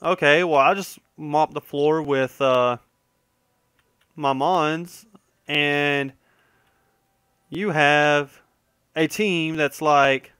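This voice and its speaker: Clear-voiced man rambling over a game. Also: a little high, fairly even intonation, drawn-out words, long pauses, fairly bright tone, no echo, studio quality. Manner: conversational, digressive, often trailing off and correcting himself. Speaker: gaming video host. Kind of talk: casual, rambling let's-play commentary.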